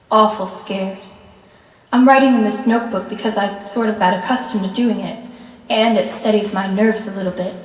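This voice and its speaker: Anxious housewife diarist played by a woman, simple confiding monologue for radio drama, medium pitch, long hall reverb. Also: natural voice. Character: nervous, frightened wife confiding in her notebook. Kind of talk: amateur radio play diary monologue. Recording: echoey hall, distant, faint hiss.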